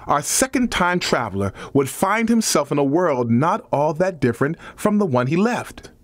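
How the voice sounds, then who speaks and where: A man's voice: on the high side, no room sound. A man reading a nonfiction audiobook as a scripted narration.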